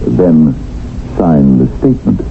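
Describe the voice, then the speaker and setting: A man's voice: rumbling low, animated, slow, full character voice. An actor playing a crafty, smug businessman in a 1970s radio drama, with formal, condescending, slippery politeness. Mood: sly, insistent. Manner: smooth formal phrasing, condescending. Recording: narrow, muffled vintage radio recording, light hiss.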